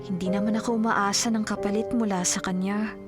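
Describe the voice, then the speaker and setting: A woman playing a selfless, lovelorn young woman in a radio drama, speaking gently, hesitantly and politely. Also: mid-range voice, level tone, brisk, lightly acted. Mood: resigned, wistful. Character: lovelorn young woman, selfless, hurt, putting on brave face. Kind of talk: Filipino radio drama acting. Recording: music bed under the dialogue. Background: music.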